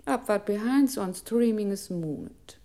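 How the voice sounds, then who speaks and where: A woman playing a methodical computer voice that recites in a measured literary radio style. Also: mid-range voice, expressive, dark tone.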